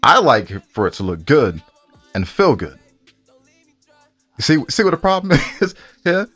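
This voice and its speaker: A man's voice: fairly high pitch, big pitch swings, a little slow, a bit bright, husky, no echo, faint music behind. A man co-hosting a podcast, chatting casually with a bantering, mock-exasperated manner. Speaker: podcast co-host.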